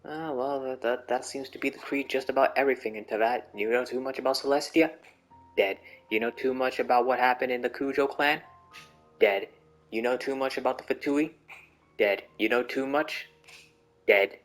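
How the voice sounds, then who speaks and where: A man's voice: medium pitch, steady tone, normal speed, a bit bright. A young man reacts casually to a video game as a streamer. His talk is slangy and joking, circling back to repeat phrases for emphasis. Faint game music runs behind him.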